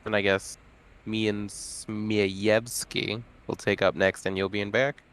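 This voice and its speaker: A man's voice: lowish voice, level tone, slow pace, fairly bright tone, clean tone. A man playing in a tabletop roleplaying game, talking casually and thinking aloud with some hesitation. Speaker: player.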